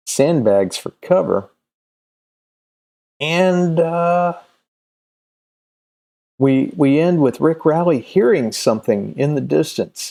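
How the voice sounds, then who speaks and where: A man presenting casually and unscripted as a comics video host, halting and often searching for his words. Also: fairly low pitch, creaky, warm tone. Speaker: comics video host and creator promoting his own campaign.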